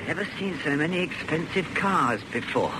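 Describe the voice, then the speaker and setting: An actor playing a naive, bumbling British sidekick in an old-fashioned radio play, stammering, mild and apologetic. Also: male voice, fairly high pitch, expressive, moderate pace, very noisy, close to an everyday voice. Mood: curious, unsure. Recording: radio recording with music behind.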